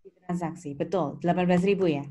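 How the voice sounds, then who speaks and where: A woman's voice: on the low side, fast talker, dark tone. A woman giving an interactive lecture as a teacher, instructing step by step and checking understanding with questions. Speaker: accounting teacher. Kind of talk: interactive lecture explaining worksheet entries. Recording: clear background noise, keyboard typing and clicks.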